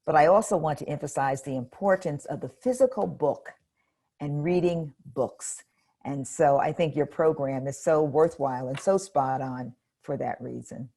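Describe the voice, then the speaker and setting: A retired educator, an older woman, answering interview questions in a measured, earnest way. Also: sits low, warm tone.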